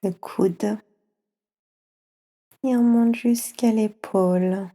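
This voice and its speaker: Slow, soothing woman guiding relaxation. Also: medium pitch, fairly even intonation. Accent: French. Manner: soft, slow, soothing, with pauses. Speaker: yoga nidra guide for children.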